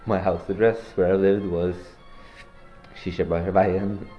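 A teenage boy from Norway hosting a joking school podcast, talking in deadpan, slangy banter. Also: low voice, measured pace, roomy sound, muffled recording, faint music behind. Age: teens. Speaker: podcast host. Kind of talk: joking student podcast interview.

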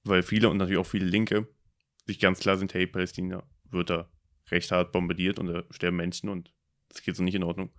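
Young German podcast co-host, low voice, thoughtful and halting, lots of "ähm". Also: male voice, little pitch movement. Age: about 20. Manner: reflective, hesitant, many fillers. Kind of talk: casual discussion.

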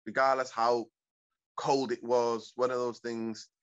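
A man's voice: mid-range voice, little pitch movement, measured pace, fairly bright tone, clear, resonant tone. Reflective man from East Africa, lo-fi. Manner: rambling, repeats "you know what I mean". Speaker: guest. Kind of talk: reflective casual conversation.

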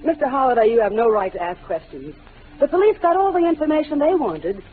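A woman's voice: sits high, big pitch swings, quick pace, breathy edge, full character voice. An actress playing a poised, defensive young woman in a 1940s radio melodrama, genteel and theatrical. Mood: indignant, guarded. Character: poised, defensive young woman shielding her guilty father. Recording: tinny, noisy old radio broadcast.

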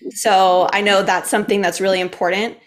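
A woman's voice: medium pitch, little pitch movement, brisk. A woman answering as a panelist in a casual way.

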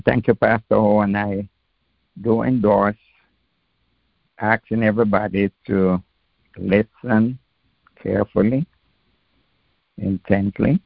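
A male senior pastor teaches the Bible very slowly and deliberately, in a measured, instructive way. His voice is fairly low with a lot of gravelly creak. The sound is thin and narrow, like a phone line. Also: dry recording. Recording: tinny phone-like dry audio.

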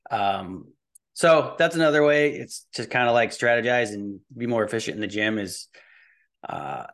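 A man's voice: mid-range voice, normal speed, fairly bright tone. A man in his early forties talking casually and informally as a fitness coach being interviewed, explaining things in a rambling way. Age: early 40s. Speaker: fitness and nutrition coach, podcast guest.

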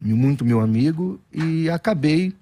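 A middle-aged man speaks as a guest pastor. His voice sits somewhat high and he tells his anecdotes with energy, often restarting his sentences. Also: unhurried. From Brazil. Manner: animated, self-deprecating anecdotes with frequent restarts. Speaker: Baptist pastor. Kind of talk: storytelling interview answers.

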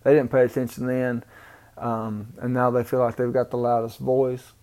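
A man's voice: mid-range voice, flat delivery, a little mellow. Rambling Southern man from Mississippi. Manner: rambling, halting, with many ums and restarts. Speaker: podcast host. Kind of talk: casual solo podcast monologue. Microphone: home microphone, amateur setup.

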